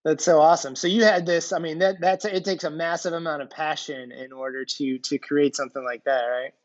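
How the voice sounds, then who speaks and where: A man's voice: a little high, fairly even intonation, a little fast. A man hosting a podcast, chatting casually as he interviews a guest. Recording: dull, lo-fi voice track.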